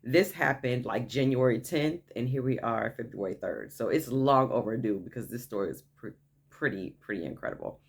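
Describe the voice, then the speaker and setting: A woman with a naturally deep voice telling a story to her viewers in a chatty vlog, often tossing in "okay?" as she hypes things up. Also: moderate pace, slightly rough. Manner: chatty, frequent "okay?" asides, hyped enthusiasm. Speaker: vlogger. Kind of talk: casual story time vlog.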